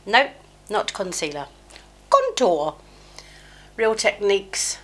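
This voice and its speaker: A woman's voice: medium pitch, huge pitch swings, takes it slowly, fairly bright tone. A middle-aged woman doing her makeup at home while chatting in a rambling, self-mocking way, slightly chesty with a cold. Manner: chatty, scattered, self-mocking, many fillers. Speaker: beauty vlogger. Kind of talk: rambling get-ready-with-me chat. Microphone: small mic clipped near her, sometimes covered.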